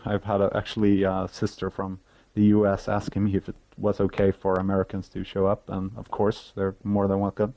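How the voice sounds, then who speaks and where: Low, dark male voice, dull recording. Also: monotone, a little fast. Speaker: interview guest, event organizer. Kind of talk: casual informational interview talk.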